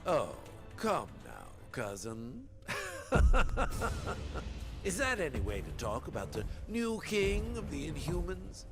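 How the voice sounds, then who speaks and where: A gloating, power-mad usurper king in a game cutscene, mocking and theatrical, his high voice swooping about as he draws out his words. A loud musical score plays underneath. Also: wildly swooping pitch, slow, music in the background, heavily acted. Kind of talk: video game voice acting.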